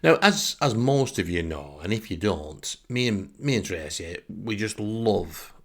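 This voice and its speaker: Northern english bloke telling funny stories about himself, lowish gravelly voice, wry and a bit theatrical. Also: male voice, animated, unhurried. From northern England. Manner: wry, self-deprecating, theatrical emphasis. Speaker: storyteller and host. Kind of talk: comic first-person storytelling.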